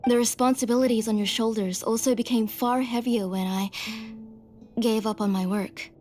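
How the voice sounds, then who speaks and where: A gentle, sorrowful mother played by an actress speaks earnestly in a slightly high middle-aged voice. Soft music sits behind this video game dialogue. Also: steady tone, normal speed, natural voice. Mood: apologetic. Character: gentle guilt-ridden mother, a former master smith.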